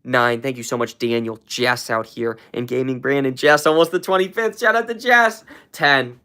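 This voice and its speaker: A live stream host chatting with viewers, rambling with many fillers and repeats, and shooting way up in pitch to hype up gifts and shout out names. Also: moderate pace, clean tone. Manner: hyped, shouting out viewers, jumps way up in pitch when thanking for gifts.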